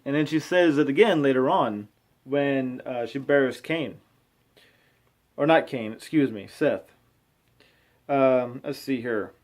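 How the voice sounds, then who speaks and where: A man in his thirties reading aloud and commenting casually, with an informal, halting delivery and frequent self-corrections. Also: medium pitch. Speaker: vlogger reading and commenting on scripture. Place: small room at home. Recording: clearly noisy amateur home recording.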